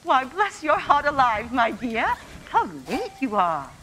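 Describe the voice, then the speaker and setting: A woman playing a warm, bustling, fretful mother in a radio play. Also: high-pitched, big pitch swings, a little slow, a little hiss or hum, heavily acted, poor audio. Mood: affectionate.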